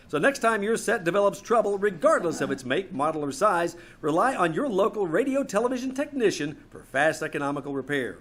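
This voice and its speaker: A man's voice: on the high side, a bit bright, husky. A man reading scripted sponsor spots and credits as an old-time radio announcer, with emphatic salesman polish. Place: small community theater stage.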